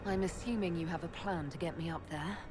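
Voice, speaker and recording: A woman's voice: fairly low pitch, a little fast, noisy background, lightly acted. A woman playing a composed, wry adventurer in a video game cutscene. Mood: wry, calm.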